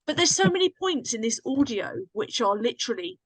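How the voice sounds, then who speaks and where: A woman's voice: a little high, very animated, fairly quick, over a noisy crowd, low-quality recording. A woman in casual conversation as a podcast guest, talking in an enthusiastic, slangy, emphatic way. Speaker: podcast guest, drama writer and cast member. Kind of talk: casual chat.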